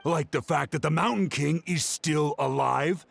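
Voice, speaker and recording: A man playing an earnest, excitable young researcher in a video game, explaining things insistently. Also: fairly high pitch, measured pace, creaky, soft background music, exaggerated voice.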